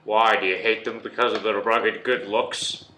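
A man's voice: fairly low pitch, fairly even intonation, normal speed, pushed and bright, roomy sound. A man giving casual let's-play commentary, jokey and muttering exasperated asides to himself. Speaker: video game let's-player.

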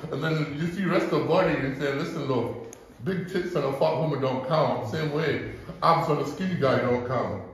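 A man's voice: a little high, moderate pace, slight background noise. A man giving a blunt, profane, preachy motivational talk to a group at his gym. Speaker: gym owner giving a seminar. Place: large echoey gym indoors. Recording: echoey gym, distant mic.

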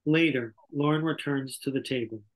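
A man's voice: medium pitch, moderate pace, poor audio. An older man reading scripted stage directions as narrator at a table read over a video call. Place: indoor room on a video call. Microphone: video call microphone.

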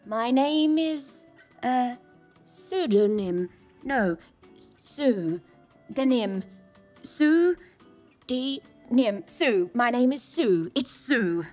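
An actress playing a cryptic, portentous but bumbling messenger, theatrical and halting, in a comedy audio drama. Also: female voice, on the high side, lively intonation, a little mellow, faint music behind. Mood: evasive, theatrical.

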